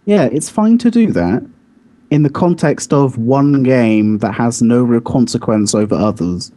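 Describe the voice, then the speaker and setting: A man's voice: mid-range voice, lively intonation, a little slow, warm tone. A man co-hosting a podcast in a casual debate about video games, insistent and contrarian in how he talks. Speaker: podcast co-host.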